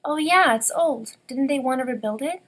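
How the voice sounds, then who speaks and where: Agreeable young orphan girl acted by a woman, high and breathy, over music. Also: moderate pace, fairly bright tone. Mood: curious. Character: modest, agreeable orphan girl who sews. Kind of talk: motion comic dialogue. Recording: music behind the voice.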